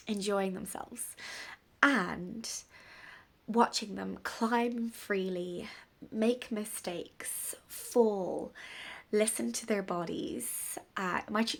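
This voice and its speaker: A woman in her thirties, a bit bunged up with a cold, chatting casually and rambling gently about parenting in an unplanned vlog. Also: medium pitch, creaky. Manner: rambling, gentle, warm-hearted musing. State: congested from a cold. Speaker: parenting vlogger. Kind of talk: casual unscripted talk to camera.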